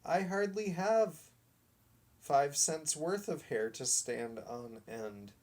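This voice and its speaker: Storybook big dog, honest and beseeching, pitched up, unhurried, acted by a male reader. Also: expressive, a little mellow. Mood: sad, insistent. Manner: earnest and beseeching. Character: eager, honest, pleading big talking dog. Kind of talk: children's storybook read aloud.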